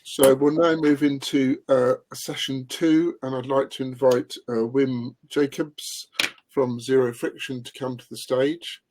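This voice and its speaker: A man chairing an online conference, asking questions and introducing speakers in a polite, brisk, upbeat manner over a video call. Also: medium pitch, little pitch movement, darkish tone, a little hiss or hum. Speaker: event chair and host.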